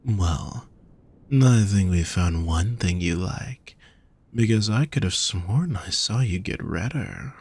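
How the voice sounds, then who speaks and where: A man voicing a gentle, teasing, affectionate demon in an intimate audio roleplay, speaking softly and tenderly to the listener. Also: low voice, gravelly, husky, heavily acted. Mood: reassuring, sincere. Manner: soft, unhurried, tender teasing.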